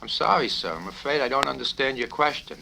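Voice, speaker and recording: A man's voice: medium pitch, animated, quick pace, gravelly, voice pushed up. A man reading a satirical novel, voicing an earnest, literal-minded young cadet who answers in an apologetic, deferential way. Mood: flustered, apologetic. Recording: noisy 1970s vinyl record with clicks.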